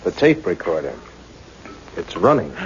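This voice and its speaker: An actor playing an eager, loyal, plain-spoken assistant in a radio play, informal and excitable. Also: male voice, deep voice, measured pace. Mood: alert, excited. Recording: muffled, noisy radio play recording.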